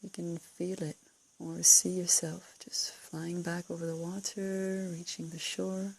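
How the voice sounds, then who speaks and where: A woman leading a guided meditation, giving calm, unscripted instructions in a soothing, breathy way with gentle pauses. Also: on the low side, low-quality recording. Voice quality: breathy, husky, creaky.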